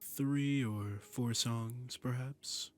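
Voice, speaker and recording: A man playing a flirtatious, commanding demon prince in an intimate roleplay audio drama, silky, teasing and imperious, with a breathy voice that often drops toward a whisper. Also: mid-range voice, extremely slow, dry recording, soft background music. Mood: playful, flirtatious.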